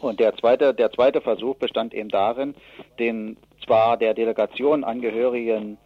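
A man giving spontaneous political analysis as an expert interview guest, speaking haltingly with many filled pauses and rephrasings. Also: mid-range voice, steady tone, dry recording. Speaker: expert guest from an advocacy association. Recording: dull, lo-fi radio interview sound.

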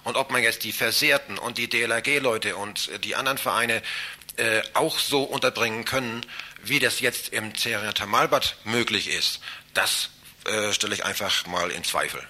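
A man answering interview questions as a citizens' association chairman, halting and rephrasing himself as he goes. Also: on the low side, sharp tone.

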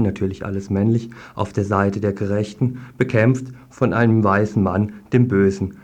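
A man's voice: fairly low pitch, a little mellow. A man presenting scripted items on community radio, reading aloud with an occasional stumble.

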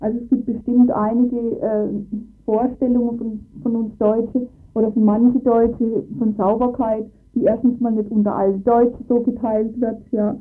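A woman's voice: fairly high pitch, flat delivery, normal speed. A woman giving her views as a local resident in an informal radio discussion, explaining firmly and often tagging statements with a short confirming word. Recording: tinny narrowband archive radio recording.

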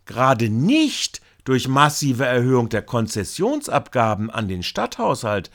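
A man reading scripted, critical news commentary in a formal, halting way with long sentences. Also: medium pitch, a bit bright. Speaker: reporter and commentator.

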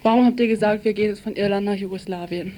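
A woman radio reporter with a markedly dark, mellow voice. Her pitch sits in the middle as she moves between scripted narration and interview questions. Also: fairly even intonation, fast talker, a little hiss or hum, rough recording.

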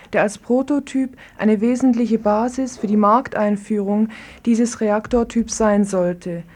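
A woman's voice: a little high, warm tone. A woman reading a scripted radio news report in formal, read-out phrasing.

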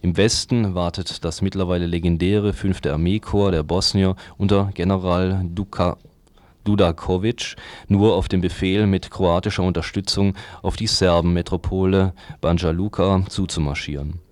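Deep-ish guy reading newspaper pieces out on radio, dry sound no room. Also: steady tone, normal speed, a little mellow, no room sound. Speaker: radio reader of press articles. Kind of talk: reading newspaper commentary aloud.

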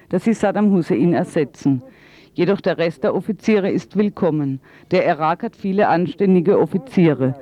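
Man, interpreter, somewhat high pitch, voice-over translation, slightly muffled broadcast sound.

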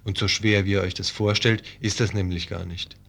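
Lowish voiced guy on radio reading a promo straight to listeners, news team type. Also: little pitch movement, moderate pace, gravelly, fairly bright tone.

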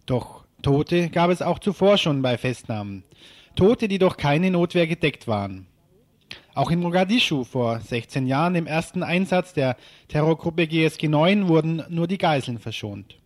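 Higher-pitched man reading radio news commentary. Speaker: radio news presenter and interviewer.

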